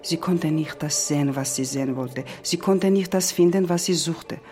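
A woman's voice: deep voice, moderate pace. A middle-aged woman from Georgia with a Georgian accent speaks reflectively about herself and tells a story in simple phrases. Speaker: interviewee. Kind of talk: reflective personal narration. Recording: music behind the voice. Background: music.

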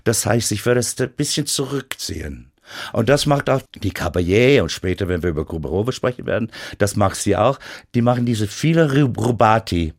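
Brief: American guest speaking careful, halting German, low-pitched, many restarts. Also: male voice. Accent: American English. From United States. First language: English. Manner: halting, searching for German words, frequent restarts. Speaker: guest pianist. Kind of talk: radio interview.

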